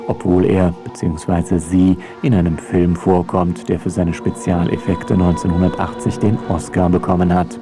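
A man reading a scripted radio feature in a wry, pointed, mocking way. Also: low-pitched. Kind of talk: scripted narration, ironic essay.

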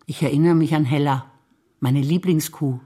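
A woman's voice: sits low, moderate pace, husky. Woman actor evenly reciting memories. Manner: plain, even recitation. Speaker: stage actor. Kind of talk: theatre performance of spoken memories.

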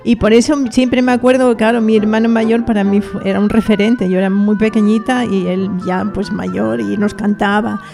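A middle-aged woman from Spain with a Castilian Spanish accent, a guest chatting casually on the radio, reminiscing warmly and laughing easily. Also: mid-range voice, little pitch movement, normal speed, creaky, hardly any pauses, soft background music. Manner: warm, reminiscing, laughs easily, some restarts.

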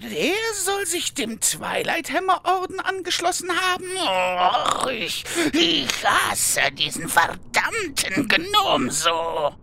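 Whiny goblin, high-pitched, wildly swooping intonation, melodramatic and grudging, fantasy parody audio drama. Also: female voice, big pitch swings, takes it slowly, vocal fry, few pauses, sharp tone, full character voice. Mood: bitter, wailing. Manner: melodramatic, hesitant.

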